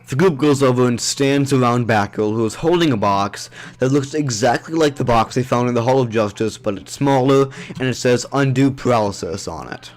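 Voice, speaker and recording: A man's voice: mid-range voice, moderate pace, few pauses. Man narrating a superhero audio drama.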